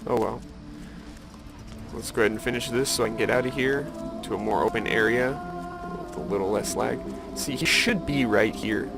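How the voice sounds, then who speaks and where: Creaky-voiced man rambling over game music. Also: mid-range voice, normal speed. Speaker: gameplay video host. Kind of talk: casual rambling game commentary.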